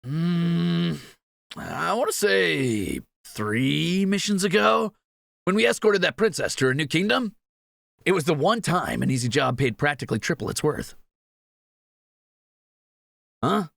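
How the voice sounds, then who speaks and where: Man acting a high, lively, cheeky thief. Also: a little slow, gravelly, pauses often, no echo, studio quality, exaggerated voice. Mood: casual teasing. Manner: teasing, flippant, animated. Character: cheeky, greedy young male thief, teasing adventurer. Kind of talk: fantasy audio roleplay acting.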